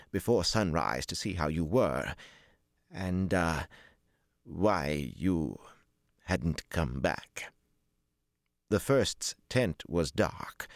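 A man's voice: deep voice, dry recording, clean studio sound, heavily acted. A man voicing a stout, older, anxious male servant in an audiobook, deferential, stiff and hesitant in his speech. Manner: stiff, hesitant, flustered, stammering. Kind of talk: audiobook character dialogue.

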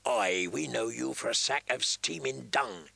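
A man voicing a defiant blacksmith who shouts insults at his captors. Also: medium pitch, expressive, a little slow, creaky, slightly rough, no room sound, heavily acted. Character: defiant male blacksmith, loyal and furious.

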